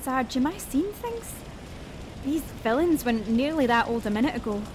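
A woman playing a nervous, spooked police officer in an audio drama, uneasy and hurried. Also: high voice, very animated. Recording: noisy rain behind.

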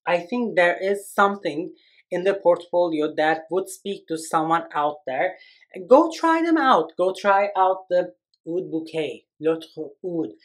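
A man in his thirties from Turkey, giving an animated, expressive perfume review to camera in a small room. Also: measured pace. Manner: animated, expressive, lively swings in pitch and volume. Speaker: fragrance reviewer. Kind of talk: casual video review. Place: small indoor room against a plain wall.

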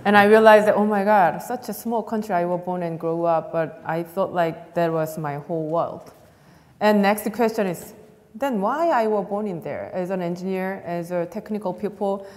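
A woman's voice: lowish voice, a little mellow. A woman from South Korea, speaking English with a Korean accent, telling an earnest story as an astronaut panelist who laughs often. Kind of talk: panel talk. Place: large event hall, on stage. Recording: long reverb of a large hall.

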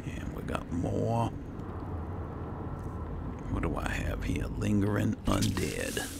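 A man's voice: fairly low pitch, slow, creaky, noisy background. A man giving casual gameplay commentary, musing to himself and his in-game companions as he roleplays. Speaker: gaming video host.

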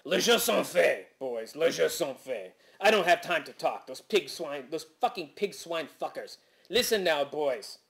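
A man's voice: on the high side, animated, measured pace, creaky, fairly bright tone, exaggerated voice. A man voicing a paranoid, foul-mouthed landlord with an exaggerated fake Quebecois French accent, ranting in broken English as part of a story reading. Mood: agitated, paranoid. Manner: ranting in broken English, referring to himself in third person.

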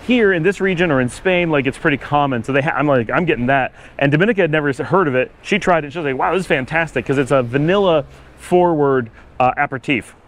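A man's voice: on the high side, quick pace. Rambling middle-aged man vlogging outdoors. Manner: rambling, chatty, lots of fillers and restarts. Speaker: travel vlogger. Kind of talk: casual walking vlog chat. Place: city street outdoors. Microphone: handheld action camera at arm's length. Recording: faint outdoor street noise.

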